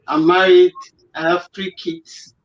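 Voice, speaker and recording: Slow-speaking woman guest. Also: on the low side, fairly even intonation. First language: Yoruba. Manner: hesitant, with fillers and restarts. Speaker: guest, actress and presenter. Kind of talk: casual video-call interview. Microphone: remote call audio. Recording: roomy, dull remote call audio.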